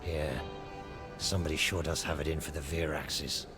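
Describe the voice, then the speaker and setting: A hard-bitten bounty hunter in a sci-fi game. His deep voice is gruff and terse, ringing in a big reverberant space with music behind. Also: little pitch movement, moderate pace. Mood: guarded. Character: tough, laconic male bounty hunter for hire. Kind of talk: gritty sci-fi game cutscene acting. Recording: long hall reverb under clear music.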